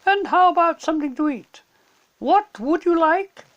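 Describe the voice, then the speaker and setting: A man's voice: extremely high pitch, lively intonation, moderate pace, fairly bright tone, highs cut off, pitched above the performer's own voice. A man voicing an imperious, stern witch queen in a storybook reading, with a haughty, commanding manner. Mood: coaxing.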